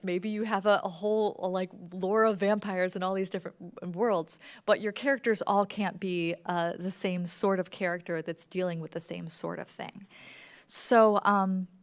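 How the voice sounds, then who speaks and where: A woman speaking as a podcast creator in an informal presentation, explaining things conversationally with examples and plenty of "like". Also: mid-range voice. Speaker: podcast creator giving a talk. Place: live talk before an audience at a library event.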